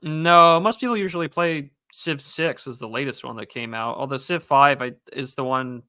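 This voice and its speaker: Bright, hesitant young man on a podcast. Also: mid-range voice, normal speed, clear, resonant tone, dry recording, clean studio sound. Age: young adult. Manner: hesitant, many fillers and restarts. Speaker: podcast host and streamer. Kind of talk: casual chat.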